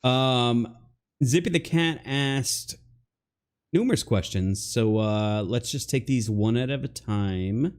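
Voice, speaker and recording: A man hosts a casual online interview, speaking in an ordinary mid-range voice. He keeps things loose and relaxed as he asks his guest questions and reads out viewers' questions. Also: mid-range voice, slow pace, smooth. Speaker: interview host.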